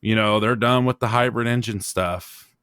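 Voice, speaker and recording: A man hosting a motorsport podcast, giving his opinions in casual, halting talk as he thinks aloud. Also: on the low side, fairly even intonation, a bit bright, smooth, no room sound, studio quality. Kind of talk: casual racing discussion, opinionated.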